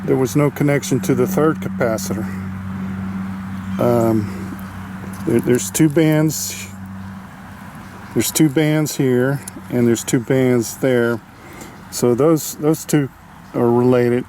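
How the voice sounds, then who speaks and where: A man giving a casual, unscripted hands-on repair demonstration, halting as he thinks aloud. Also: mid-range voice, steady tone. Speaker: hobbyist radio restorer demonstrating a repair. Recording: clearly noisy amateur video sound.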